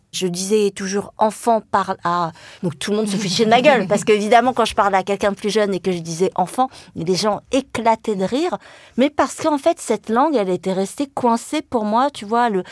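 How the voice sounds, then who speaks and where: Podcast guest: woman, Vietnamese first language, mid-pitched, halting and reflective, dry studio sound. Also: dry recording. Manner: thoughtful, halting, many fillers and restarts. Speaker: guest, journalist and writer.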